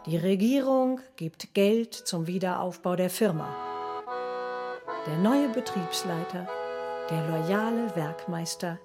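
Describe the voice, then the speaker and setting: A woman reading a scripted film synopsis in a measured, deliberate way for a radio play, with accordion music behind her. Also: lowish voice. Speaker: narrator in a radio drama. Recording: loud music under the voice.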